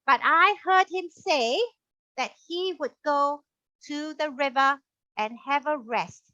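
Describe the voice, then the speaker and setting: A woman voicing a cheeky young female lamb puppet with big glasses, talking in a playful, quick, squeaky way over a video call. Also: pushed and bright, no echo, heavily acted. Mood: storytelling. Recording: dull lo-fi video call audio.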